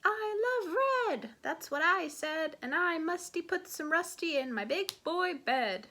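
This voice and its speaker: Woman putting on a high, excitable little kitten boy. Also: normal speed. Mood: gleeful. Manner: bouncy, sing-song rhyming. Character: excitable little kitten boy who thinks he's a chihuahua.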